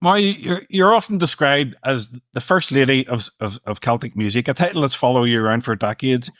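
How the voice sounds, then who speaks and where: A man's voice: mid-range voice, brisk, fairly bright tone, dry recording, studio quality. A man hosting a podcast, asking long questions and giving short reactions. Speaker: podcast host. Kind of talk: interview questions.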